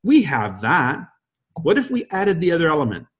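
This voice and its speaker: A man's voice: on the high side, huge pitch swings, normal speed. A man in his fifties, guest-hosting a leadership call, giving a motivational talk full of emphatic rhetorical questions. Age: 50s. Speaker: guest host.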